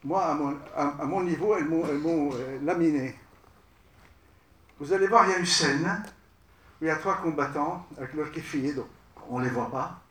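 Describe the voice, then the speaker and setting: Halting man speaking off-mic in an echoey room. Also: fairly high pitch, recorded from a distance, slight background noise. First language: Arabic. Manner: halting, with many repeated words and restarts. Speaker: guest speaker recounting film work. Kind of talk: spoken recollection to an audience.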